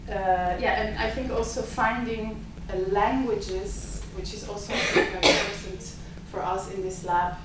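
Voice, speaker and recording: A woman's voice: medium pitch, steady tone, a little slow, hardly any pauses. Italian-native woman host, hesitant delivery with fillers, recorded from afar in a noisy reverberant seminar room. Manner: hesitant, many filler sounds. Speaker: symposium host and lab director. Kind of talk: opening remarks at an academic event. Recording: echoey lecture room, mic far off.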